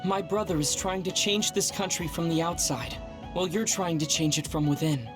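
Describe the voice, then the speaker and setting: Woman playing young female soldier, composed, polite, quietly determined, video game drama, clear music behind. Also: lowish voice, moderate pace, lightly acted. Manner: calm, reasoned, polite. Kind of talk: English-dubbed video game cutscene acting.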